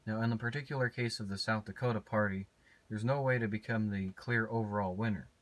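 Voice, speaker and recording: An American man with a fairly low voice speaks as a ham radio hobbyist. His delivery is measured and matter-of-fact, suited to casual narration and on-air exchanges. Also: steady tone. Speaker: amateur radio operator and vlogger.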